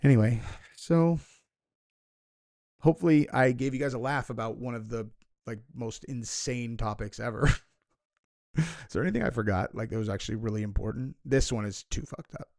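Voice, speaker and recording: A man's voice: medium pitch, normal speed, gravelly, long pauses, a little mellow. Rambling sarcastic young man. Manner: rambling, sarcastic, heavy filler words. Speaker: commentary video host. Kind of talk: casual reaction commentary.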